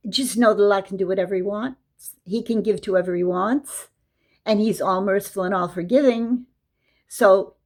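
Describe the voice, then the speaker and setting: Slow-speaking woman teaching Quran. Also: medium pitch. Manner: slow, explanatory, reciting Arabic verses. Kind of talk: casual religious lesson.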